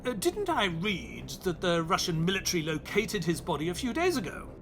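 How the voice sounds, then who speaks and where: An actor playing an earnest, curious doctor and loyal sidekick in an audio drama, polite, questioning and easily astonished. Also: male voice, high voice, wildly swooping pitch, normal speed, noisy background, heavily acted. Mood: puzzled, thoughtful. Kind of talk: audio drama acting with first-person narration.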